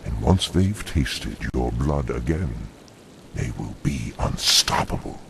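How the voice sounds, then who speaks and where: An actor voicing a scheming demonic dreadlord in a game cinematic, a sly, sinister tempter with a measured, insinuating delivery. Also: male voice, lively intonation, a bit bright, a little hiss or hum, full character voice. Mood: sinister, persuasive. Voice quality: very deep, gravelly. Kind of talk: video game cinematic acting.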